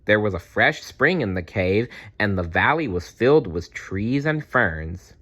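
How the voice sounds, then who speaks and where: A man's voice: on the low side, lively intonation, unhurried, fairly bright tone. A man voicing a cautious but loyal little girl dinosaur in a storybook, childlike, chatty and earnest. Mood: explaining.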